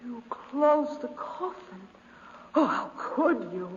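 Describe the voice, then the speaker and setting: An earnest gentlewoman on muffled radio. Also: sits high, expressive, slow. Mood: terrified pleading. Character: frightened, pleading, devoted young gentlewoman cousin. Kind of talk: 1950s radio acting. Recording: muffled, noisy 1950s radio recording.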